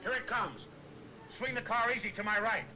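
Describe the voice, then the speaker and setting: High-voiced, eager police sidekick played by a man. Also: moderate pace, natural voice, poor audio. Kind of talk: 1940s film serial acting. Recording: old 1940s film soundtrack, music behind.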